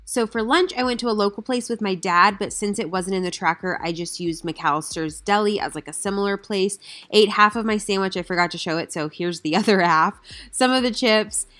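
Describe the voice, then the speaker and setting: A woman's voice: medium pitch, a little fast, fairly bright tone. A young woman with a slightly nasal voice from a recent cold, chatting casually in a rambling vlog. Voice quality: a little nasal. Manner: chatty, rambling, lots of fillers. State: recovering from a cold. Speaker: lifestyle vlogger.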